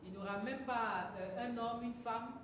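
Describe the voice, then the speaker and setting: A woman interprets a sermon phrase by phrase, often correcting herself and filling gaps with hesitations. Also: a little high. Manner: quick, self-correcting, hesitant fillers. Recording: echoey hall from far off, noisy.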